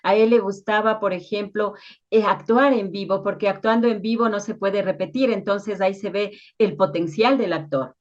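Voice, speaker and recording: A woman's voice: mid-range voice, normal speed. A woman interviewing conversationally, commenting and putting questions to a guest. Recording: slightly dull, lo-fi sound.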